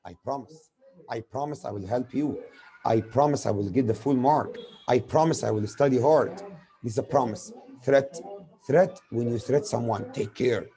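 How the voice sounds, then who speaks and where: A man's voice: mid-range voice, warm tone, low-quality recording. A man with an Egyptian accent, a native Arabic speaker, giving a grammar lesson as a teacher who asks and answers his own questions. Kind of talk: spoken lesson explaining with examples.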